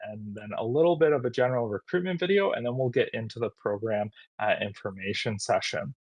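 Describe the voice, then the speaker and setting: A man's voice: medium pitch, dry recording. Upbeat man hosting a webinar. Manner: friendly, filler-heavy, upbeat. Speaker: webinar host, college recruitment manager. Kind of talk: casual webinar introduction.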